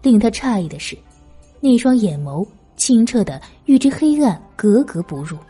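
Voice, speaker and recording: A woman narrates a novel from a script. Her voice sits a little high but is very soft and dark, with a breathy husk. She reads as an audiobook narrator. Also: very animated, normal speed, faint music behind.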